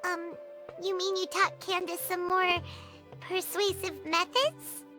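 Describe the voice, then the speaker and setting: Squeaky lil floating fairy sidekick, super high kid voice, bouncy and curious, game music behind. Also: female voice, level tone, takes it slowly. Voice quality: squeaky, childlike. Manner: refers to self by name in third person. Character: tiny chirpy floating fairy companion girl, childlike and curious. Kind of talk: video game voice acting.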